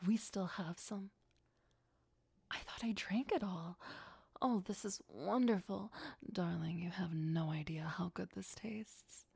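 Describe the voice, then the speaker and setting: A woman playing a possessive, sweetly obsessive vampire girlfriend in an ASMR roleplay, mostly whispering in a breathy, intimate, doting way. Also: mid-range voice, mellow, no echo, full character voice.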